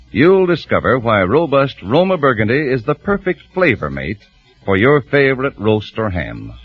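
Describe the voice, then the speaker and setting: Lively-toned vintage radio announcer man. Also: mid-range voice. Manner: polished, measured, persuasive. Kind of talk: 1940s radio announcing and sponsor read. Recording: muffled old radio broadcast with music.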